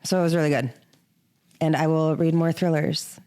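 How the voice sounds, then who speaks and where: A woman's voice: low-pitched, fairly even intonation, normal speed, mellow. A woman co-hosting a podcast, talking in a casual, chatty way with informal, conversational phrasing. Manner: chatty, informal, frequent "like" as filler. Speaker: podcast co-host. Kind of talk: casual book chat.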